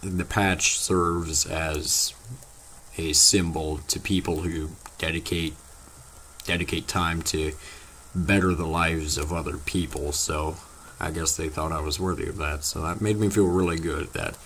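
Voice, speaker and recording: A teenage boy, slightly hoarse from losing his voice, chatting casually to his viewers in a rambling, halting way full of fillers. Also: rumbling low, steady tone, fairly bright tone, a little hiss or hum. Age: teens. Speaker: vlogger. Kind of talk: casual vlog chat to viewers.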